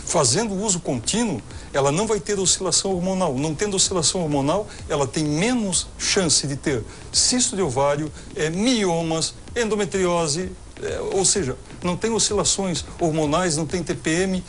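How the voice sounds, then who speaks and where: A man's voice: sits high, moderate pace, a little hiss or hum. A man with a Brazilian Portuguese accent, a gynecologist answering viewers' questions on a TV health show in a methodical, explanatory way. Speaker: gynecologist guest expert.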